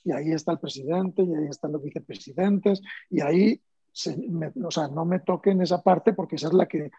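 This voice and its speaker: A man's voice: on the high side, brisk, warm tone, husky, dry recording, muffled recording. A man from Spain with a Spanish accent, speaking as a panelist in a panel discussion in a conversational way, with fillers and restarts.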